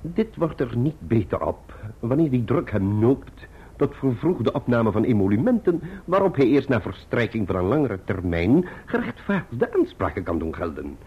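Pompous genteel clerk, orotund and wordy, theatrical radio drama, husky, very animated intonation, lo-fi sound. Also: male voice, medium pitch, moderate pace, heavily acted. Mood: delicately evasive. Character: pompous, genteel middle-aged male clerk, florid and self-important. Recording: muffled, dull old radio drama recording.

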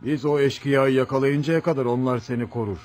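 An actor playing a calm, probing gendarme station commander in a Turkish radio play, measured and unimpressed. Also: male voice, mid-range voice, lightly acted. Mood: skeptical calm. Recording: faint music behind radio drama.

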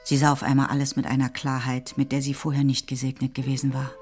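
A woman narrating a literary radio drama in a measured, deliberate way, as a composed storyteller. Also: rumbling low, completely flat delivery, darkish tone. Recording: music under the voice. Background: music.

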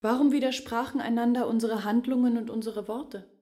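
An actress playing a guarded, earnest village woman in a literary radio play, measured and intimate. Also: on the high side, fairly even intonation, mellow, close to an everyday voice. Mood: grave, confiding.

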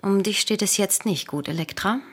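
A woman playing a proud, cold queen in a classical tragedy radio play, with measured, imperious declamation. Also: mid-range voice, husky, soft background music, close to an everyday voice. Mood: scornful. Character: proud, cold queen and mother, scornful of her daughter.

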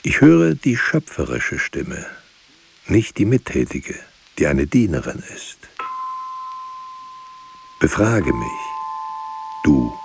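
An actor playing a grave, brooding writer, speaking solemnly in halting, deliberate phrases in a poetic radio play. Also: male voice, extremely low pitch, wildly swooping pitch, vocal fry, slight background noise, exaggerated voice. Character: grave, brooding writer musing on words and silence. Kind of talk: poetic radio drama monologue.

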